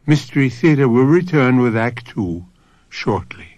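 A man hosting and narrating a radio drama as a measured, theatrical storyteller. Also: medium pitch, very animated, a little mellow, dry recording, lo-fi sound. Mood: solemn. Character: theatrical storyteller host of a mystery anthology. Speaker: host and narrator.

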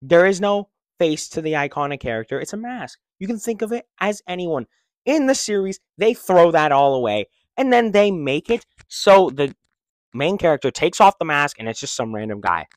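Young male vlogger, slangy and rambling, lively pitch, fairly high voice, dry close handheld mic. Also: normal speed, no echo. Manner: rambling, restarts sentences, slangy and excitable. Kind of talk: casual opinion vlog. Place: living room, seated in armchair. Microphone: handheld mic held close.

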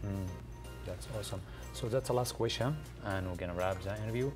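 A middle-aged man hosting a celebrity fashion interview, asking halting, effusive questions full of fillers. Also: fairly low pitch. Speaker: celebrity TV host. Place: studio set, seated on a couch. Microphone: desk microphone on a stand. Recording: music playing underneath.

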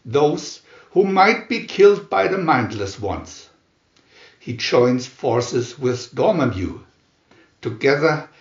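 Man, theatrical storybook narration, measured and slow, slightly muffled recording. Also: fairly high pitch, echoey room. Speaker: narrator reading a picture booklet. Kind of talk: dramatic scripted storybook narration.

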